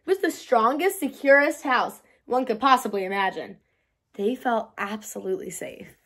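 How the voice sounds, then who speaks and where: High, very expressive woman storyteller. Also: normal speed. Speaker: read-aloud host. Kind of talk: children's storybook narration.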